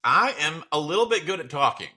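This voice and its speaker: A man's voice: on the high side, big pitch swings, fairly quick, pushed and bright, breathy edge. A man bantering casually as a podcast co-host, with a wry, playful and emphatic way of talking.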